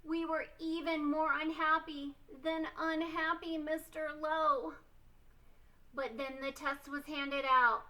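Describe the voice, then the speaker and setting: A woman reads a children's story aloud with theatrical emphasis. Her voice is high and bright, and she takes her time over the phrases. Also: little pitch movement, takes it slowly, rough recording. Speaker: storytime reader. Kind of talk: expressive children's storybook reading.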